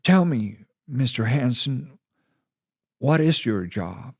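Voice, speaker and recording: A man voicing a calm, courteous army colonel in an audio story, formal, measured and used to being obeyed. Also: medium pitch, breathy edge, voice pushed up.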